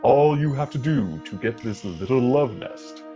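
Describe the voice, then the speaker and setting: A man's voice: mid-range voice, expressive, mellow, close to an everyday voice. Guy acting as spooky old radio horror host, real swoopy delivery, darkly jokey, music behind him. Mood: ghoulishly jovial. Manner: theatrical, sinister, drawn-out delivery. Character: macabre, darkly joking male horror-show host. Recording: music under the voice.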